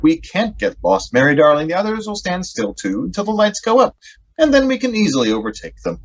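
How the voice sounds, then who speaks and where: A man's voice: high voice, big pitch swings, a little fast. A man playing a patient young sweetheart in a radio drama, coaxing and reassuring, on a muffled recording. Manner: coaxing, old-fashioned endearments. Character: reassuring, patient young sweetheart keeping his companion calm. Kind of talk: stage-like radio drama acting. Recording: dull, muffled lo-fi audio.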